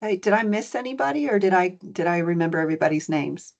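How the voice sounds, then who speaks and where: A woman's voice: lowish voice, expressive, fast. A woman moderating an online student panel as program director, welcoming and methodical, with frequent fillers. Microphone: video call.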